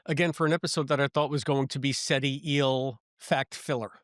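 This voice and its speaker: A man's voice: mid-range voice, steady tone, brisk, dry recording, clean studio sound. A man co-hosting a podcast, talking through a review in a rambling, casual way with stammered restarts. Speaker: podcast co-host.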